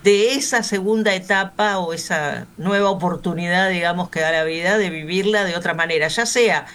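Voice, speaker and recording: A woman's voice: fairly low pitch, moderate pace, fairly bright tone. Chatty woman in her sixties. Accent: Río de la Plata Spanish. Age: 60s. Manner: chatty, anecdotal, uses "este" as filler. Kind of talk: radio panel discussion.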